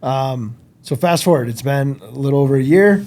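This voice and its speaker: A man hosting a casual interview, asking questions and backing up his guest with quick affirmations and tag questions. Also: medium pitch, animated, a little mellow.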